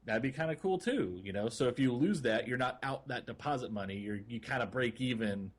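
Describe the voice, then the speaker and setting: A man co-hosting a gaming podcast in casual conversation, explaining things in a rambling, halting way. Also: medium pitch, animated. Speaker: podcast co-host. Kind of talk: casual chat about a video game.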